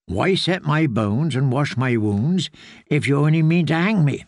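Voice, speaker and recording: A man's voice: on the high side, animated, unhurried, dry recording, clean studio sound. A man voicing a wary, wounded woman knight who speaks in curt, blunt demands.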